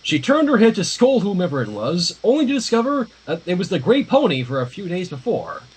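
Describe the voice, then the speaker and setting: A man's voice: high voice, huge pitch swings, fairly quick, slightly rough, poor audio. A man reading a story aloud informally on a livestream, dropping in casual asides. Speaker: livestream fan fiction reader.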